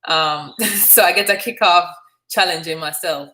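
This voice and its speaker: A woman's voice: on the low side, unhurried, bright, forceful tone, rough recording. A woman hosting a call-in live stream, chatting casually and encouraging her caller. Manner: friendly, encouraging, chatty with laughs. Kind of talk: casual chat.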